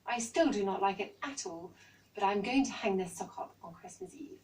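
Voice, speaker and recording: A woman's voice: mid-range voice, lively intonation, normal speed, darkish tone, distant mic, close to an everyday voice, poor audio. A woman with an English accent voicing an earnest, childlike young male owl in a storybook. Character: eager young male barn owl, timid of the dark.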